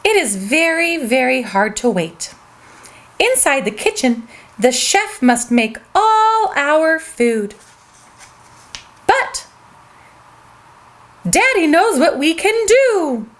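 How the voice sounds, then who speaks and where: A woman's voice: high-pitched, very animated, slow pace, pauses often. A woman hosting a children's show, reading a storybook aloud in a sing-song way and talking straight to the kids.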